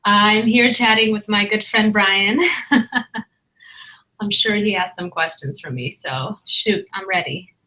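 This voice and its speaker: A young woman from Ethiopia tells her own life story as a guest, relaxed and open, with frequent pauses and fillers. Her voice is bright and forward, and her pitch sits at a middle level for a woman. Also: poor audio. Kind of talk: casual personal storytelling.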